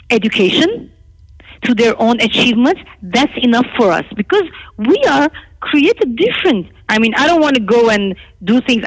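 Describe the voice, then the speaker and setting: A middle-aged woman from Lebanon, a native Armenian speaker, talking candidly and emphatically about her own life as an interview guest, with a creaky voice. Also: fairly high pitch, poor audio. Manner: outspoken, emphatic.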